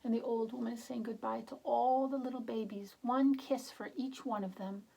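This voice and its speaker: Woman narrator for children's read-aloud; expressive, simple, explanatory, voice slightly husky. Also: a little high, normal speed, poor audio. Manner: expressive, explains words and pictures, asks gentle questions. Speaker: children's storybook reader.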